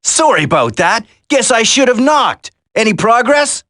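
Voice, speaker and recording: An actor playing an earnest, determined young special-forces soldier in a dramatic video game. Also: male voice, very high-pitched, very animated, moderate pace, bright, forceful tone, dry recording, studio quality, heavily acted. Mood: calm, dry.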